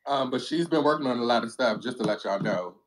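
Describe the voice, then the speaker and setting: A man's voice: mid-range voice, fast talker, rough recording. A man co-hosting a live audio chat, chatty and protective of the guest. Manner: chatty, protective, laughs while talking. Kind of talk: casual chat on an audio room.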